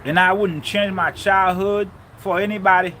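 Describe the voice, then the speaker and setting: A man's voice: high-pitched, steady tone, moderate pace, sharp tone, a little hiss or hum. A man from Haiti giving a fervent spiritual talk on faith and relationships, repeating key lines for emphasis. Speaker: spiritual speaker. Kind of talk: preachy motivational talk.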